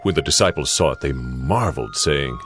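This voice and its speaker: A man's voice: extremely low pitch, animated, moderate pace, a bit bright, faint music behind. A man reading scripted narration for a dramatized audiobook.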